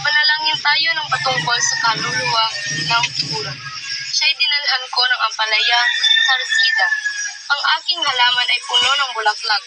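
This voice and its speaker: A woman acts out a madwoman mother for a puppet show. She is distraught and pleading, drifting through repetitive, drawn-out phrases about her lost children. Prominent music plays underneath her. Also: high-pitched, pitched below the performer's own voice, lightly acted, low-quality recording. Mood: rambling, mournful. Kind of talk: puppet show dramatic acting. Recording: music playing behind the voice.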